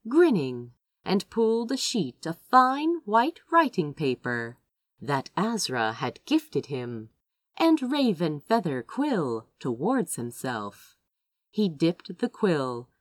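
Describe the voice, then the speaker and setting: A woman reading a fantasy story aloud as expressive, dramatic scripted narration. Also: fairly low pitch, dry recording, clean studio sound. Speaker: fan fiction reader.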